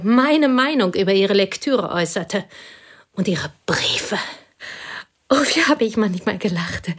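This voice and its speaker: An actress playing a plain, devoted woman who confesses intimately to a pianist in a radio play, her voice husky and often whispered. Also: mid-range voice. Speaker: confiding companion.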